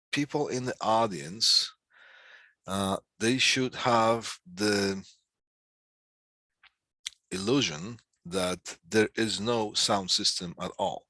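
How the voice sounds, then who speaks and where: A man with a fairly low but bright, forward voice lectures informally to a class. He works through definitions in short, halting phrases and adds explanatory asides. The sound is close and very dry. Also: dry recording. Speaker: instructor giving a class on PA systems.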